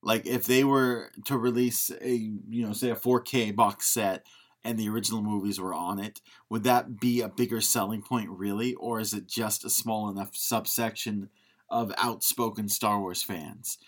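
A man's voice: fairly low pitch, fairly even intonation, moderate pace. A man co-hosting a podcast, chatting about film in a joking, conversational manner. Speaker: podcast co-host. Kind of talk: casual film discussion.

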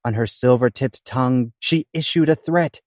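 A man's voice: medium pitch, normal speed, a little mellow, no echo, studio quality. A man co-hosting a comedy podcast, delivering theatrical banter and scripted narration. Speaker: podcast co-host.

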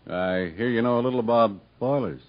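An actor playing a shady, dry backwoods still-maker in a 1950s American radio drama, cagey and insinuating. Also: male voice, medium pitch, fairly quick, natural voice. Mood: sizing up. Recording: dull 1950s radio broadcast recording.

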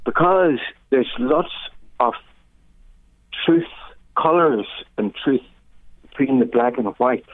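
An older man with a Northern Irish accent giving thoughtful, halting, anecdotal answers as a radio interview guest over a phone line. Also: medium pitch, expressive, dry recording. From Northern Ireland. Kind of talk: reflective radio interview talk. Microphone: telephone. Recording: thin narrow-band phone line.

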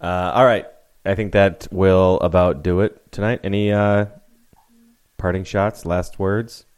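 A man's voice: low voice, fairly even intonation, unhurried, darkish tone, clean tone. A man hosting a podcast in casual chat, dry and laughing a lot. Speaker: podcast host.

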